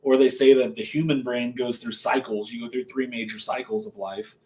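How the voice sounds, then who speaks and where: A man's voice: mid-range voice, steady tone, fairly quick, a little mellow, slight background noise. A man of forty co-hosting a casual chat, teasing and musing aloud about ideas. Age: 40s. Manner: joking, teasing, muses aloud. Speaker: podcast co-host.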